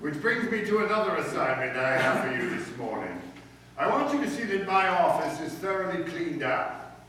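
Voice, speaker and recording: An echoey, distant recording of a man acting as a pompous middle-aged school principal from radio comedy. His voice is fairly high and forceful, and he speaks in formal, commanding phrases. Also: very animated, moderate pace. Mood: exasperated. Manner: pompous, authoritarian, formal diction. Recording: echoey room from far off, faint noise.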